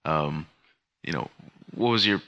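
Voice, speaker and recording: Podcast host guy, low voice, bright crisp tone, easygoing chat. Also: measured pace. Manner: casual, chatty. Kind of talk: show intro and interview.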